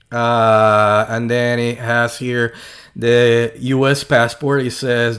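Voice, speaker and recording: Casting: Puerto Rican man, mid-pitched, slow and halting delivery, dry sarcasm. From Puerto Rico. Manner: slow and halting, many fillers and restarts, sarcastic asides. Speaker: video host. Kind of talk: casual monologue to camera.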